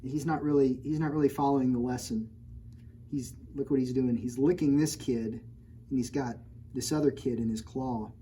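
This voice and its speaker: A woman's voice: very deep voice, steady tone, normal speed, dark tone, slight background noise. A woman librarian reading a picture book aloud to children, stopping often for playful, teasing remarks about the pictures. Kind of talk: storytime read-aloud with chatty asides.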